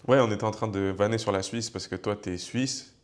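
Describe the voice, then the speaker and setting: A young man from Savoie hosting a casual interview, relaxed and joking, with many fillers. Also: on the low side, a little fast. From Savoie, France. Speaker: host.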